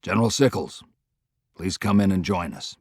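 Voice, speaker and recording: A man voicing a terse, stern army general in an audiobook, muttering flatly with little emotion. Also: normal speed, slightly rough, no echo, clean studio sound. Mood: cool, neutral. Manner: muttering, low and flat, unemotional. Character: terse, stern, quietly commanding middle-aged army general.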